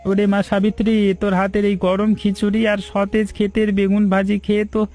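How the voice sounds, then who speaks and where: A man's voice: sits high, completely flat delivery, a little fast, a little mellow, faint music behind, close to an everyday voice. A man voicing a frail, sentimental old family retainer in a cartoon, plaintive and effusive in manner. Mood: touched, grateful. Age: older. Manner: plaintive, emotional, effusively grateful. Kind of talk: cartoon story dialogue.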